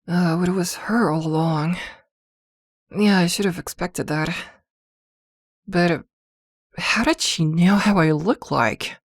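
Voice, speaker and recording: Woman voicing a slow, low-toned possessive witch girlfriend. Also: no room sound, studio quality. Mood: concerned, questioning. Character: possessive, protective witch girlfriend, doting yet jealous. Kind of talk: ASMR girlfriend roleplay audio drama.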